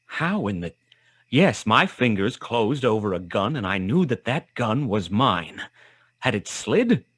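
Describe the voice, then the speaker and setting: A hardboiled detective tells his tale in terse, wry noir style. The voice sits low and is recorded very dry, close to radio-play narration. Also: sing-song, unhurried, fairly bright tone, husky, dry recording, heavily acted. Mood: tense, wry.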